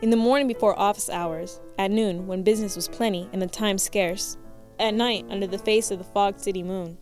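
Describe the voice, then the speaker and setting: A woman's voice: medium pitch, fairly even intonation, a little fast, a little mellow, soft background music. A woman reading literary narration for a radio play in a formal storytelling manner.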